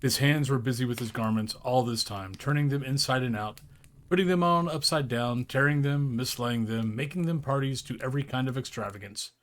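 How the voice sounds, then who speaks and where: A man's voice: mid-range voice, fairly quick. A man reading a classic story aloud as narrator, theatrical and emphatic, now and then stumbling over a word. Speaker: audiobook narrator.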